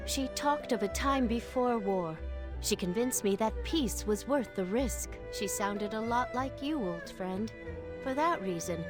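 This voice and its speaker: An actress playing a dignified, thoughtful empress in a video game, speaking in a formal, stately and deliberate way. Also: female voice, mid-range voice, natural voice. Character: dignified, regal female empress, thoughtful and reserved. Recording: music under the voice.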